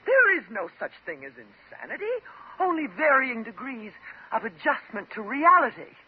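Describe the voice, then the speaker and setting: An actress playing a bitter, vengeful jilted patient with a mocking, sarcastic and dramatic manner in a 1950s radio drama. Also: female voice, sits high, very animated, normal speed, background noise. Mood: mocking, unhinged. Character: bitter, vengeful jilted woman patient holding doctor at gunpoint. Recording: dull old 1950s radio recording.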